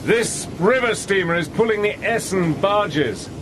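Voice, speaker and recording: An actor playing a resourceful, determined spy-adventurer in a radio drama, brisk and wry, also narrating in the first person. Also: male voice, high voice, lively intonation. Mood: explaining. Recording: radio drama with noise behind.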